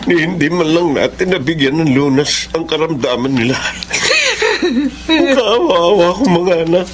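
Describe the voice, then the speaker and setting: A man's voice: fairly high pitch, lively intonation, normal speed, slight background noise, natural voice. An actor playing a poor, distraught scavenger father in a dramatic Filipino radio drama. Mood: grieving. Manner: emotional, dramatic.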